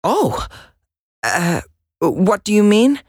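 A man's voice: high voice, huge pitch swings, very slow, darkish tone, husky, no echo, studio quality, exaggerated voice. An actor playing a sixteen-year-old boy, a native Swedish speaker, in a job interview, chatty and a bit hesitant with nervous laughs. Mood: earnest. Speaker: job applicant. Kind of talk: scripted listening dialogue.

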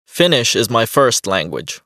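Man doing a young male beatboxer, friendly, plain steady answers, medium voice, dry close sound. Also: medium pitch, a little slow, dry recording, heavily acted. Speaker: interviewee. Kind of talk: scripted textbook dialogue.

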